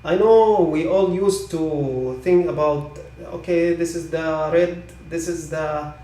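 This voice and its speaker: Echoey, higher-voiced man teaching, non-native English. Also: takes it slowly, warm tone. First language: not English. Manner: repeats points, often checks with "okay?". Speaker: technical trainer. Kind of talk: live online product training. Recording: echoey room, distant microphone.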